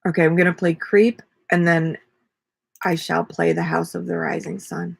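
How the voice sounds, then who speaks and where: A woman in her forties with a raspy voice, chatting casually and rambling on a live stream from a small camper. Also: fairly low pitch, normal speed, no echo. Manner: relaxed, rambling, self-deprecating. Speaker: vlogger and ukulele player. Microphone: webcam at desk.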